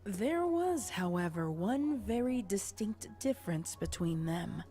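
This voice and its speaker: A woman's voice: mid-range voice, expressive, unhurried, dark tone. Woman narrating a dramatic story over music. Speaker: fan fiction narrator.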